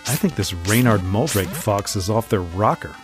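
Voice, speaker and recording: An eager secret agent sidekick in an audio comedy. The voice is extremely low but very animated, earnest, nagging and quick to exasperation. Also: female voice, faint music behind. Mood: earnest, exasperated.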